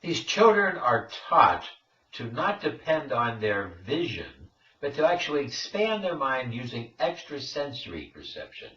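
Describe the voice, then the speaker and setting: A man's voice: mid-range voice, sing-song, unhurried, bright, forceful tone. A man presenting a lecture-style commentary in an emphatic, declarative manner. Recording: echoey, distant, dull with faint noise.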